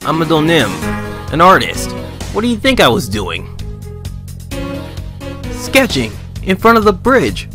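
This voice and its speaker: A man's voice: a little high, wildly swooping pitch, a little slow, long pauses, over music, exaggerated voice. A man voicing a goofy, self-important young artist in a video game dub, theatrical and boastful but casual. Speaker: fan dub voice actor.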